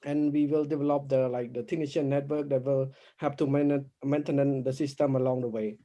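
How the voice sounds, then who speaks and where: A man presents his part of a team project pitch. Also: steady tone, normal speed, mellow.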